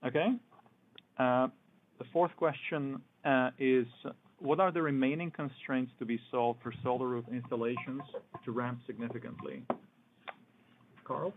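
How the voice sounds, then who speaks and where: Man, conference call moderator, halting question reading, phone-band sound, faint noise. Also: mid-range voice, steady tone. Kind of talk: formal question-and-answer moderation. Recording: noisy thin phone line with clicks.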